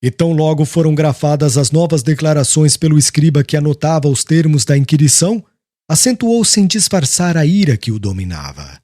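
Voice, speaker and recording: A man's voice: fairly high pitch, lively intonation, a little fast, dark tone, no echo, studio quality. A man reading a solemn, dramatic audiobook narration.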